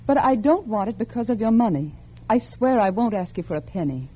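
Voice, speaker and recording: A woman's voice: on the high side, animated, brisk, husky. An actress playing a scheming, unhappily married woman in love, with earnest, dramatic mid-century radio acting. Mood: pleading, determined. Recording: dull old radio recording with music.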